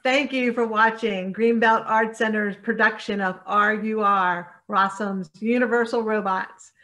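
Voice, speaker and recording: Middle-aged female announcer: forceful bright tone, measured scripted read, mid-range pitch. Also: fairly even intonation. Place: indoors on a video call.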